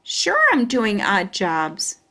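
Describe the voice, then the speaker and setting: A woman's voice: mid-range voice, very animated, slow pace, sharp tone, heavily acted. A woman voicing an affectionate, playfully persuasive grandfather in a storybook, speaking in a coaxing manner. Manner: coaxing, with tag questions.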